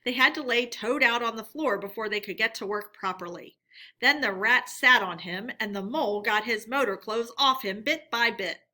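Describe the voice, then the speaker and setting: A woman's voice: a little high, normal speed, bright, forceful tone. A woman teacher reading a children's story aloud expressively and putting questions to her pupils.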